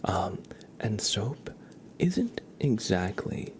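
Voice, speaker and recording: A man hosting an ASMR livestream, chatting casually in a soft, breathy, often whispered voice with slow, halting, rambling delivery. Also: lowish voice, sing-song. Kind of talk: ASMR, casual chat with viewers. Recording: clear background noise.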